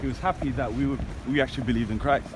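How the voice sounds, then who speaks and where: A man's voice: medium pitch, fairly even intonation, very fast. A man chatting casually as a street evangelist. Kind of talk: casual chat and street preaching. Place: outdoors on a city street. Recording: loud noisy outdoor city street.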